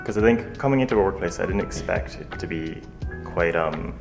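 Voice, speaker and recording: Deep-voiced irish guy co-hosting a radio chat, talks fast, relaxed, music under it. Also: vocal fry. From Ireland. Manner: relaxed, conversational with many fillers. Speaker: radio co-host. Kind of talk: bilingual community radio chat.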